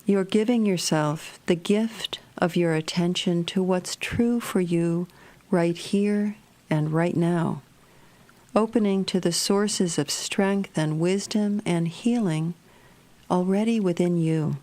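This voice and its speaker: A woman narrating a guided meditation in a gentle, measured, soothing manner. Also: fairly low pitch.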